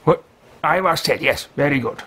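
A man in his thirties playing a haughty, greedy mage who mutters to himself in a pompous, drawn-out way, recorded at home. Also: on the high side, animated, a bit bright, breathy edge, heavily acted. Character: haughty male mage-thief, self-talking, greedy. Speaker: let's play host. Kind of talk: in-character game roleplay. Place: home room with bookshelves.

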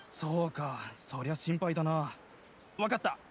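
A man's voice: a little high, unhurried, a little hiss or hum, lightly acted. A man playing a friendly, helpful young townsman in a fantasy anime game, casual and concerned. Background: background music.

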